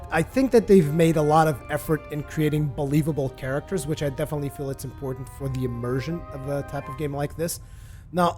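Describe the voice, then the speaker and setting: A man gives casual commentary on a video game, talking in a loose, rambling way with frequent fillers. His voice sits a little high for a man, and soft music plays faintly behind him. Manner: relaxed and rambling, with many fillers and restarts. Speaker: gaming video host.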